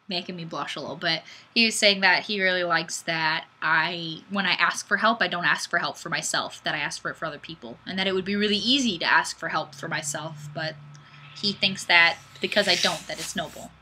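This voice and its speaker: A young woman talks into her webcam from a small room, hosting her weekly livestream. Her voice is bright and slightly husky, and she chats in a goofy, bubbly way with her viewers. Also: lowish voice, moderate pace. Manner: bubbly and goofy, bursts of squealing excitement. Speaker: livestream host. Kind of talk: casual livestream chat reading comments. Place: small indoor room, plain wall. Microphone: webcam mic.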